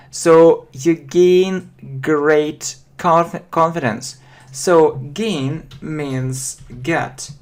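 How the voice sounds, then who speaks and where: A Russian-accented man in his thirties gives an English lesson at an unhurried, deliberate pace. He repeats key phrases and explains each word, leaning on "uh" and "yeah". He sounds like he is speaking into a desk webcam in a small room. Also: fairly high pitch. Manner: repeats and defines phrases, many fillers. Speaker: English teacher.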